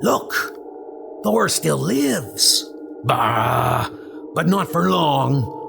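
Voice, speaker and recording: A man's voice: on the high side, very animated, extremely slow, creaky, noisy background, heavily acted. A man voicing a boastful, menacing supervillain in a comic book dub, with a taunting, theatrical manner. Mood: gloating menace. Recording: music under the voice.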